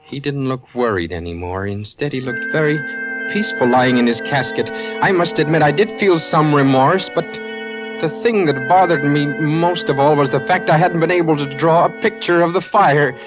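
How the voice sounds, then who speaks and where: An actor playing a nervous, defensive young man in a halting radio suspense style, on a vintage recording with music. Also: a little high, lightly acted, rough recording. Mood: brooding, uneasy. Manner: halting, stammering. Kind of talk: radio suspense acting, first-person narration.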